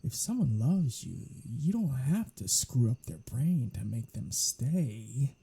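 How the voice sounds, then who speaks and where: Hushed, husky, snarky vampire played by an ASMR performer. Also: medium pitch, very animated, slow, full character voice. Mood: defensive, frank. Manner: sardonic, casual, swearing, teasing. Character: snarky, casually menacing vampire mechanic teasing a human companion. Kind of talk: soft-spoken ASMR audio roleplay.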